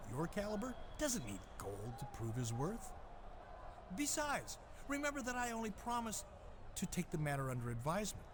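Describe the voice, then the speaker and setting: Male actor as smug wrestling general manager, video game, evasive, lively pitch, slightly noisy audio. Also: natural voice. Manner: slick, evasive.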